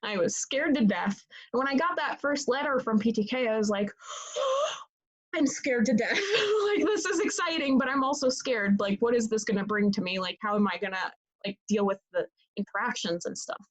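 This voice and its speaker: A woman's voice: a little high, lively intonation, moderate pace. A young woman whose first language is Italian, answering interview questions in a casual, rambling way with many fillers and restarts. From Italy. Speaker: interviewee, student leader.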